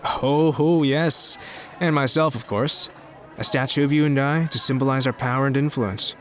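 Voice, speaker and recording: A man playing a boastful, self-important showbiz mogul in an audio drama, smooth-talking as he courts. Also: medium pitch, normal speed, natural voice. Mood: grandiose.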